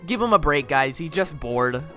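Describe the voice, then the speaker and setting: A YouTuber giving a casual, excitable film review in informal slang, with playful mock outrage and exclamations. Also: mid-range voice, moderate pace. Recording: voice over background music. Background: music.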